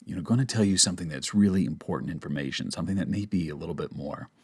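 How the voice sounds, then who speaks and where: A man with a fairly low voice talks through a voice-acting tutorial. He is conversational and emphatic, explaining casually and showing by example as he goes. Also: rapid-fire, a little mellow, breathy edge. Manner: emphatic, conversational, checks in with "okay?". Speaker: voice actor giving a tutorial. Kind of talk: casual instructional talk with demonstrations.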